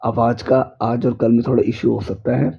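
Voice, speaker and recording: Hoarse male teacher. Husky, gravelly, very dark tone. Exam-prep lecture pace with constant check-ins. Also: mid-range voice, little pitch movement, normal speed. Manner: repeats checks like "clear?" and "okay?". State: hoarse from a cold. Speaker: chemistry teacher. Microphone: speaker's mic is faulty.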